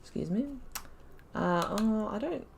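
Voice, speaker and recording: A woman gives casual commentary over a game she is playing. Her voice sits at a middle pitch, and she talks in a loose, rambling, chatty way. She is playful and quick to make light of herself. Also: animated, gravelly, slight background noise. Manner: chatty, rambling, self-deprecating, jokey. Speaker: video game streamer, playthrough host.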